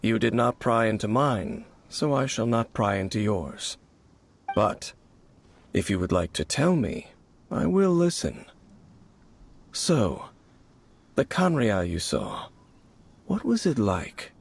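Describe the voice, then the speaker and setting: An actor playing a mysterious, reserved warrior in a video game, grave and gravelly, speaking in a solemn, formal, measured way. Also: male voice, big pitch swings, exaggerated voice. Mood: reserved, inviting. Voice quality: low, gravelly. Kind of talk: dramatic video game character acting. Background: background music.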